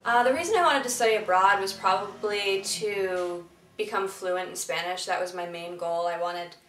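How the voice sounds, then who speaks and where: A woman's voice: mid-range voice, moderate pace, fairly bright tone, slight background noise. A young woman university student from Vermont talking earnestly and directly about her time abroad. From southern Vermont. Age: young adult. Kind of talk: casual interview testimony. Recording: distant mic in an echoey room with faint music.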